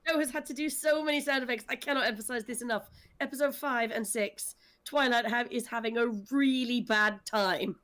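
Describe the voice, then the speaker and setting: A woman with a fairly high voice hosts a casual online panel. She is talkative and emphatic, chatting informally as she steers the conversation. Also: a little fast, a bit bright. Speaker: host of an audio drama discussion panel. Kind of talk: casual livestream chat.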